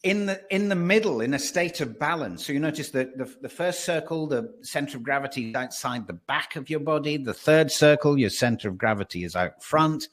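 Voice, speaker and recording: A man who works as a voice and presence coach, explaining breathing and voice as a webinar guest. He talks with animation, restarts often and slows down to stress points. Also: fairly high pitch, lively intonation, fairly bright tone.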